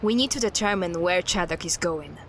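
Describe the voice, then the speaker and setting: An actress playing a level-headed, decisive investigator in an audio drama, with a practical, deliberate manner. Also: female voice, on the low side, fairly bright tone, a little hiss or hum. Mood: decisive, businesslike.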